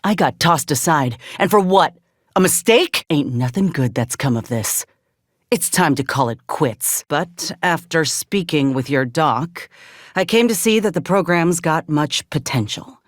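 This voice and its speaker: A woman's voice: low-pitched, expressive, a little slow, no room sound, clean studio sound. An actress playing a determined, scrappy soldier woman in a video game, with blunt, folksy frontier speech. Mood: indignant, angry. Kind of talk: video game character dialogue.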